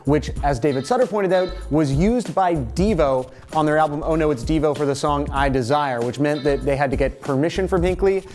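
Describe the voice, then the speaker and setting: A man's voice: fairly high pitch, brisk, few pauses, a little mellow. A young Canadian man from Toronto hosting a music video series, casually answering viewer comments with jokey, sarcastic asides.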